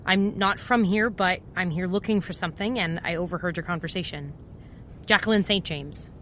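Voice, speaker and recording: An actress playing a wry, impulsive private eye, talking in a sardonic, confiding way in an audio drama. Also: female voice, lowish voice, normal speed, a bit bright, slight background noise, lightly acted. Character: wry, impulsive female private eye, over twenty-seven. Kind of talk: first-person noir audio drama narration and dialogue.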